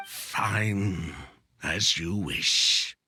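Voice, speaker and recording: An actor playing a bitter, proud former perfume maker in a video game, telling things in a theatrical, deliberate way. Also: male voice, sits low, bright, forceful tone, slight background noise, exaggerated voice. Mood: resigned. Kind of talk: scripted video game dialogue.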